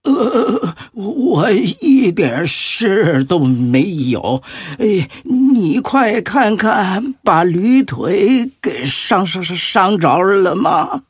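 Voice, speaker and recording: An actor playing a frail, humble old Buddhist monk in an audio drama, with a quavering, husky voice and stammering, drawn-out words. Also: male voice, sits high, sing-song, no room sound, clean studio sound, full character voice. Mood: anxious, kindly. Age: older.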